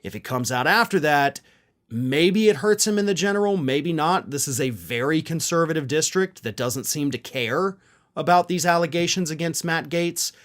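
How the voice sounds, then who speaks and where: A man with a somewhat high voice delivers political commentary. He talks informally and conversationally, making his points in a pointed, rhetorical way. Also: a bit bright.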